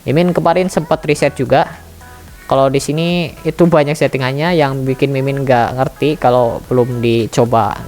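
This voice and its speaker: A woman's voice: low voice, darkish tone. A woman giving a casual, chatty step-by-step tutorial, talking straight to her viewers. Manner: chatty, addresses viewers directly. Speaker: tutorial channel admin.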